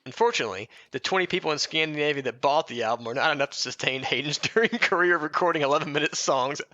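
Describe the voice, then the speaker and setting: A man's voice: on the high side, fast talker, pushed and bright, no room sound, muffled recording. A man co-hosting a comedy podcast, mixing mock-biography reading with joking, irreverent asides and prone to breaking into laughter. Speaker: podcast co-host.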